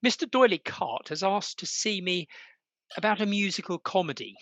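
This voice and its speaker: A woman's voice: mid-range voice, animated, normal speed, natural voice. An English woman playing a courteous, hesitant young Victorian male composer in a radio play.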